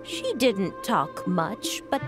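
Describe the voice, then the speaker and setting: Kindly old granny, slow and unhurried, swooping expressive pitch, gentle, devout, anime game dialogue. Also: female voice, over music. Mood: gentle, puzzled. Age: older. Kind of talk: English-dubbed anime game voice acting.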